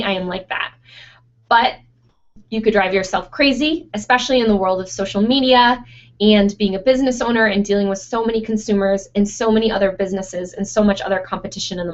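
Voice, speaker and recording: A young woman who runs a photo studio, answering listener questions in a chatty, casual way with frequent fillers and laughs, her voice going hoarse. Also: medium pitch, fairly quick. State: losing her voice. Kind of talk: casual Q&A monologue. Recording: dull lo-fi sound.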